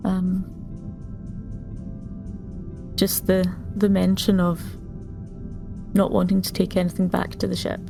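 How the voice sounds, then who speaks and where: A woman's voice: lowish voice, completely flat delivery, slow, vocal fry, pauses often. A woman playing a tabletop game, chatting casually and thinking aloud in a hesitant way. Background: faint background music.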